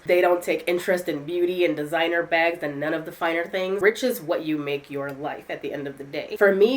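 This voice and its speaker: A woman content creator chatting candidly to her viewers in a casual vlog, congested from a head cold. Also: sits low. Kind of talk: casual talking-to-camera vlog.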